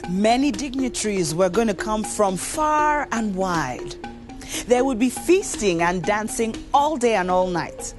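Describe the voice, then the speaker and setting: Expressive Nigerian woman telling a story. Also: medium pitch, measured pace. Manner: expressive, dramatic. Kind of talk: scripted folktale narration. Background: music.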